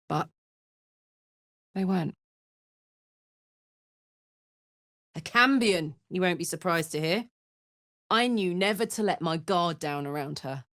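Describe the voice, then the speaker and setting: Battle-hardened, big-hearted tiefling warrior woman for a video game; blunt, sweary, unhurried, dry studio sound. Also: on the low side, a bit bright, no room sound. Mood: wry, bold. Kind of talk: video game character voice lines.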